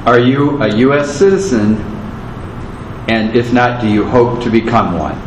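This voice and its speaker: A man's voice: mid-range voice, a little slow. Guy interviewer asking questions, kinda far from the mic, echoey w noise. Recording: roomy, off-mic with background noise.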